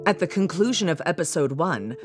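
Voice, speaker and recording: A woman's voice: low voice, lively intonation, a little fast. A woman reading scripted narration for a radio mystery drama. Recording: loud music behind the voice. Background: music.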